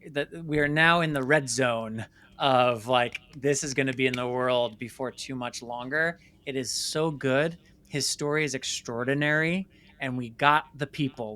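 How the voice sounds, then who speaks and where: A man with a crisp, resonant voice and a bright, forward tone speaks at a medium pitch. He talks candidly, like a guest telling stories in an interview. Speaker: guest, filmmaker and company CEO.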